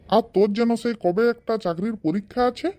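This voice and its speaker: Someone doing a quick-talking village farmer father. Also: mid-range voice, dark tone, lightly acted. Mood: fond. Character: hard-working middle-aged village farmer father, fond, homely, comic. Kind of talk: comedy cartoon dialogue.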